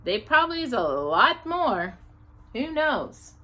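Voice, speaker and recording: Woman, storytime host. High, animated voice; reads a children's book with conversational asides. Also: takes it slowly. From Texas, United States. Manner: conversational, curious, musing aloud. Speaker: host of a storytime read-aloud show. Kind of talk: reading a children's picture book aloud with chatty asides.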